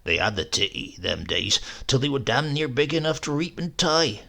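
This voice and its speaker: Storybook role: feisty, devilish old country uncle , done by a male reader, husky and creaky, dialect. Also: medium pitch, very animated, moderate pace, bright, forceful tone, heavily acted. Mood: earthy boasting. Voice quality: husky, whiny breath.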